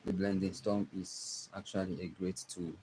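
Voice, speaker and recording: A young man with a Nigerian accent, giving a live drawing tutorial and talking softly as he works. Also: sits low, little pitch movement, slight background noise, low-quality recording. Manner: slow, soft-spoken, trailing off while drawing. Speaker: art teacher streaming live.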